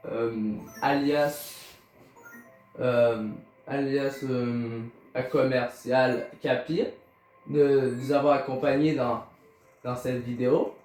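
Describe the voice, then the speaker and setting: A man's voice: mid-range voice, steady tone, slow, a little mellow. A Canadian man with a Québécois accent, commenting casually on a game he is playing. He talks hesitantly, stammering and using many fillers. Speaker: gaming YouTuber. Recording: roomy echo, distant mic, music behind.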